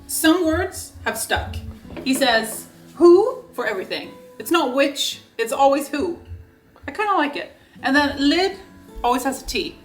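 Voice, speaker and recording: Playful high-voiced woman. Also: big pitch swings, a little slow. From Sweden. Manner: chatty, playful, laughs easily. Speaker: vlogger. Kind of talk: casual home vlog chat. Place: home kitchen and living room. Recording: echoey room with soft guitar music.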